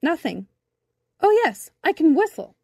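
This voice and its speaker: Woman doing an eager, high-voiced little boy. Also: very animated, moderate pace, a little mellow, no echo, studio quality. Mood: hopeful. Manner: eager, questioning. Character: small naive boy, eager and plucky.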